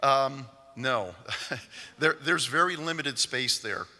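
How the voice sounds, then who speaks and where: A man's voice: mid-range voice, expressive, slow, bright, forceful tone. An older man answering residents' questions at a community meeting from a lectern, in a plain, patient way with many fillers. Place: large church sanctuary. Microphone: stand mic at a lectern. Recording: long hall reverb.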